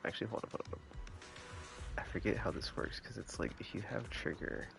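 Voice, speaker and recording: A man doing casual gameplay commentary, rambling and thinking aloud in a gravelly voice over game music. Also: on the low side, a little fast. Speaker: game streamer. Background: loud video game music.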